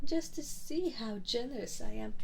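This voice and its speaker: A woman's voice: a little high, wildly swooping pitch, normal speed, crowd noise. A woman voicing a mocking, villainous vampire lady in an audio roleplay, with a taunting, theatrical delivery. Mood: mocking, gloating.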